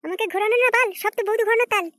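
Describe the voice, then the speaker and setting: A woman voicing a domineering mother and nagging wife in a comedy cartoon, threatening and shrill. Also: very fast, pushed and bright, no echo. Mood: angry nagging.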